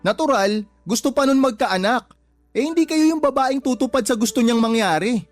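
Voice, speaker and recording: A man's voice: very high voice, animated, moderate pace, faint music behind. A young man with a Filipino accent acting a concerned, exasperated adult son in a radio drama, blunt and pleading.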